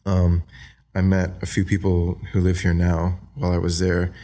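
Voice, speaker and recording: A young man from Australia with an American accent, talking softly and hesitantly as a podcast guest, with many fillers. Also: low-pitched, completely flat delivery, normal speed. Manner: soft-spoken, hesitant, many ums and likes. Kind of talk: casual interview talk.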